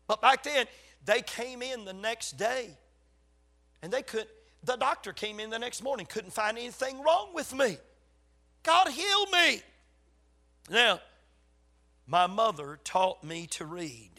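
An older male preacher with a Southern US accent delivers a sermon in a high, forceful voice. He is getting over a cold, which leaves a slight rasp. His storytelling is folksy and emphatic, with weighty pauses. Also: animated. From South Carolina, USA. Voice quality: slightly raspy. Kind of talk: sermon to a congregation.

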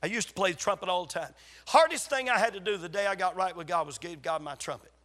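An older man with a Southern US accent preaching in a folksy, emphatic storytelling way, his voice slightly raspy from a lingering cold. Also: sits high, fast, fairly bright tone. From South Carolina, USA. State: getting over a cold. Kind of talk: sermon to a congregation.

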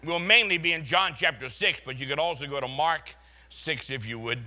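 A man's voice: on the high side, brisk, bright, forceful tone. An older man from Boston with a Boston accent, a pastor preaching a sermon full of folksy, chuckling stories.

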